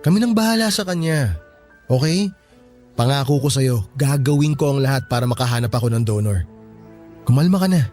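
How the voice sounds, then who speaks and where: An actor playing a calm, reassuring young male hospital worker in a radio drama, speaking soothingly and earnestly. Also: mid-range voice, a little fast, pauses often, soft background music, natural voice. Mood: gently reassuring.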